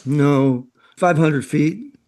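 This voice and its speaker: A man's voice: fairly high pitch, drawn-out words, a little mellow. A man acting in a radio drama as a chatty, knowing, worldly man with a breezy, opinionated way of talking.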